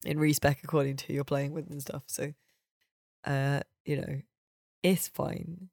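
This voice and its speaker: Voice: mid-range voice, steady tone, measured pace, creaky, a little mellow, no room sound, clean studio sound. A podcast host, chatting casually and opinionatedly about games in an informal, sweary way.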